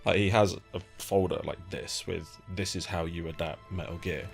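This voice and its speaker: A man's voice: low-pitched, steady tone, normal speed, vocal fry. A British man interviewing in a casual, informal and opinionated way, speaking with enthusiasm. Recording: lo-fi amateur setup with faint music.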